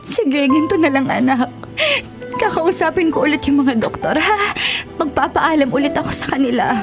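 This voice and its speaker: A woman's voice: high voice, moderate pace, music in the background. A woman playing a tender, ailing Filipino mother who pleads and soothes in a television drama. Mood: pleading, tearful.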